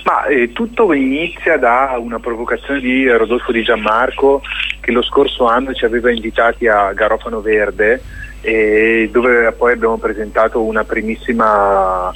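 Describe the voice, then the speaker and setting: A man's voice: lowish voice, steady tone, moderate pace, fairly bright tone, husky, rough recording. A man being interviewed on radio as a theatre director, reflective and hesitant as he searches for words while explaining his work.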